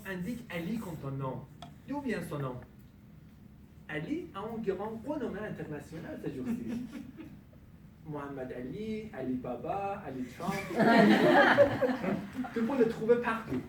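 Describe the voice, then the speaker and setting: A man's voice: sits high, background noise. Man telling a comic story in a hall. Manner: comic storytelling with punchline pauses. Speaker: contest speaker. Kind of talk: humorous speech. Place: club meeting room. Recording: echoey room, from far off. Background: audience laughter.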